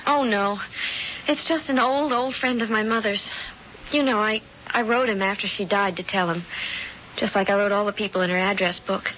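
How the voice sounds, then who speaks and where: A woman's voice: medium pitch, fairly even intonation, moderate pace, fairly bright tone, a little hiss or hum, close to an everyday voice. An actress playing a naive young woman in a 1970s radio drama, polite and prone to stammering when flustered. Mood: earnest. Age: young adult. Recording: dull old radio broadcast with music.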